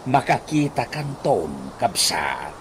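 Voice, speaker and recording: Radio comedy role: curious, henpecked husband played by a man, slow delivery with huge pitch swings, noisy backdrop. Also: big pitch swings. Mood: sympathetic. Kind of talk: Ilocano radio comedy drama acting.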